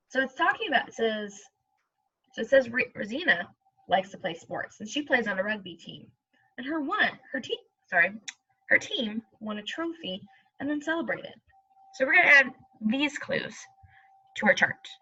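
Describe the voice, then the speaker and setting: A woman teacher leads a read-aloud lesson in a bright, forward voice that sits a little high. She goes slowly and reassures her pupils, often checking in with a question. The recording is a little dull. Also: very animated, husky. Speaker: elementary school teacher.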